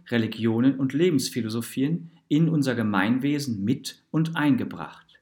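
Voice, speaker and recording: A man reading a literary, essayistic story as a narrator, in an expressive and deliberate manner. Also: on the high side.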